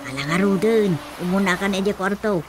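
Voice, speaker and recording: A woman's voice: medium pitch, brisk, noisy background, close to an everyday voice. A woman playing a practical, worried middle-aged wife. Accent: Ilocano. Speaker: radio drama actress. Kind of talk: radio drama acting. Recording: music bed under the dialogue. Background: music.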